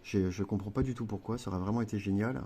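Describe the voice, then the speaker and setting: Man, gaming tutorial creator. Low, warm voice, loose and rambling delivery with fillers. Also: quick pace, breathy edge. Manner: chatty and rambling, with many fillers and self-corrections. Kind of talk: casual gameplay tutorial.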